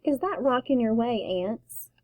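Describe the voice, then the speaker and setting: A woman's voice: a little high, expressive, measured pace, no room sound, narrow, tinny audio. Woman doing an eager little superhero girl. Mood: playful.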